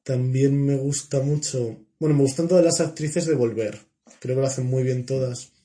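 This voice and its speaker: A young man from La Mancha, Spain, co-hosting a podcast in a casual film chat, talking in a thoughtful, measured way with hesitant fillers. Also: medium pitch, warm tone. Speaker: podcast co-host.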